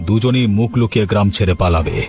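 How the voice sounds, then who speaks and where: A ruthless village headman, acted by a man, fairly low in pitch. He is a commanding, menacing cartoon villain, hungry for power, with music clearly playing underneath. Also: quick pace, darkish tone, close to an everyday voice. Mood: cunning, commanding. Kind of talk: Bengali cartoon villain acting. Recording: music behind the voice.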